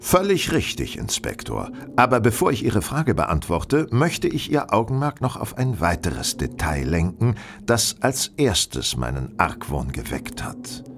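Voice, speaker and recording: A man's voice: on the low side, wildly swooping pitch, a little slow, vocal fry, hardly any pauses, full character voice. An actor playing a shrewd, self-assured male detective in a German radio play, speaking with precise, theatrical courtesy. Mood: deliberate.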